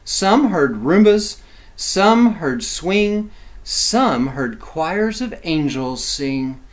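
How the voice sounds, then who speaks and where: A man reading a children's storybook aloud as a teacher, expressive, with simple explanatory asides for young listeners. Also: fairly high pitch, extremely slow.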